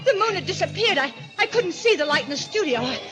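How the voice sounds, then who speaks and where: High-pitched, terrified young radio heroine. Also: female voice, expressive, fast talker, over loud music. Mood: rising panic. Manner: breathless, stammering, melodramatic. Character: terrified young woman recounting a supernatural murder. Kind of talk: old-time radio mystery acting.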